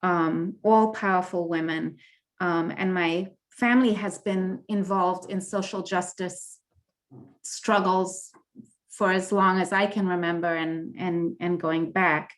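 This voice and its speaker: A woman's voice: fairly low pitch, steady tone, slow. A middle-aged woman from Kenya and Tanzania, speaking earnestly and with animation as a panelist over a video call. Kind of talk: panel discussion.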